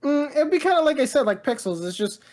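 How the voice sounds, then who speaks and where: A woman co-hosting a casual pop-culture news chat, speaking in an informal, halting, slightly bewildered way with slang. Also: sits high, sing-song, darkish tone. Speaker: podcast co-host.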